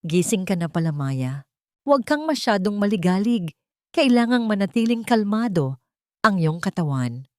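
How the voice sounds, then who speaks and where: A woman plays a gentle doctor in an audio story. The manner is calm, soft-spoken and soothing, with a dry close sound. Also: medium pitch, moderate pace, no echo, lightly acted. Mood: calm, reassuring.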